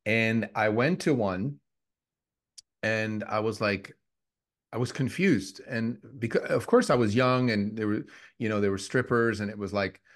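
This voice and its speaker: A man's voice: on the low side, unhurried. Reflective Swiss-raised man in his fifties. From Switzerland. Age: 50s. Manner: reflective, restarts often, lots of fillers. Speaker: podcast co-host. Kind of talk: casual conversational podcast.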